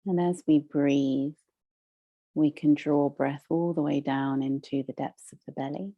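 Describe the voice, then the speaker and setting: A woman leading a guided meditation as a teacher, soothing and measured, with long pauses between phrases. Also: sits low, steady tone, muffled recording.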